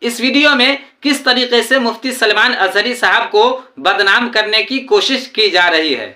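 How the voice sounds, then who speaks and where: A woman narrator with a fairly high, bright and forceful voice. She delivers scripted explanation as a video presenter. The recording has a clearly roomy echo. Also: normal speed.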